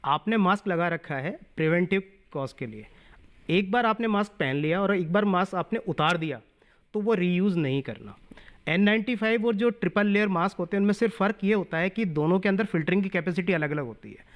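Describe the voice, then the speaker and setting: High-voiced man giving medical advice. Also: lively intonation, brisk, muffled recording. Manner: explanatory, mixes in English terms. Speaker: doctor. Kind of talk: medical advice on a call-in show.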